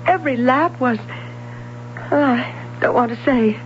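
A woman's voice: high voice, unhurried. An actress playing a reserved, insecure young woman, courteous but guarded in a radio drama. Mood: pained, confiding. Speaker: actor. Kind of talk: American radio drama acting. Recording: muffled, hissy vintage radio broadcast.

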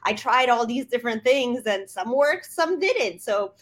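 A woman's voice: on the high side, animated, measured pace. A woman from Poland, a guest on a podcast, telling her story in an upbeat, reflective way. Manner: upbeat and reflective, with light laughs.

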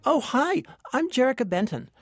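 A woman's voice: sits high, big pitch swings, normal speed, dry recording, soft background music. A woman acting as a naive, perky teenage girl singer in a parody radio play.

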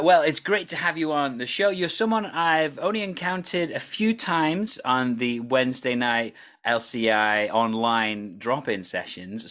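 A man's voice: medium pitch, fairly bright tone. A man hosting a podcast, interviewing a guest in a friendly, chatty way. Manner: friendly, reads promos then chats. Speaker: podcast host.

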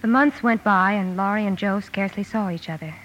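An actress playing a gentle married sister, narrating a family story in measured, reflective fashion for a 1950s radio drama. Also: female voice, mid-range voice, lightly acted. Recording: dull old radio broadcast, music behind.

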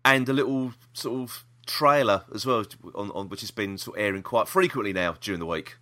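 A man's voice: fairly low pitch, a little fast, bright, forceful tone. A British man hosting a podcast, chatting casually about the news in a rambling, opinionated way. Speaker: podcast host.

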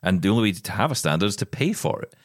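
A man's voice: fairly low pitch, sing-song, racing, no room sound, clean studio sound. A middle-aged man co-hosting a podcast, talking in an impassioned, emphatic way with plenty of restarts. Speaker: podcast co-host. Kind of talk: casual chat.

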